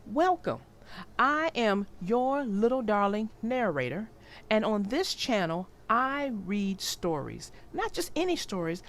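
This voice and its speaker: A woman narrating a storybook for young children in an expressive, deliberate way. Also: medium pitch.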